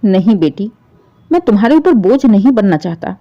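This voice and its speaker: A woman's voice: medium pitch, very animated, normal speed. A woman voicing an elderly widowed father in a moral story, wounded, proud and resolute, speaking with emphatic feeling. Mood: firm, reassuring. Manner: emphatic, emotional pleading and scolding. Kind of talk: dramatised Hindi moral story. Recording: dull, muffled lo-fi audio.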